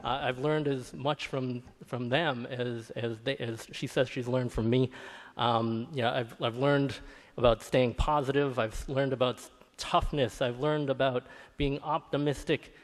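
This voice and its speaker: A man giving an informal, halting acceptance speech, repeating words as he goes, in a reverberant hall. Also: medium pitch, steady tone. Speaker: award honoree. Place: large indoor event hall. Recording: echoey hall with long reverb.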